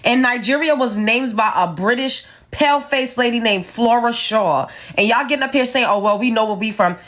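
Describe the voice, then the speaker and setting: A woman's voice: a little high, moderate pace, fairly bright tone. A woman from the United States delivering a sassy, emphatic opinion rant to her viewers, lecturing as she reads and reacts. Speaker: commentator reacting to clips.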